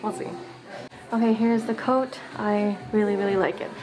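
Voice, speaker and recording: A woman's voice: medium pitch, steady tone, moderate pace, music in the background, rough recording. A young woman vlogging casually, chatting upbeat with lots of fillers, filmed on a handheld camera. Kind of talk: casual vlog chat. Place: shopping mall and home living room. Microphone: handheld camera close up.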